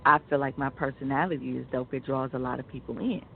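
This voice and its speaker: Creaky-voiced, blunt American woman over phone-like line. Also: very deep voice, steady tone, fast talker, a little hiss or hum. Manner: blunt, profane, slangy. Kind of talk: casual opinionated chat. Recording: narrow tinny phone-like line.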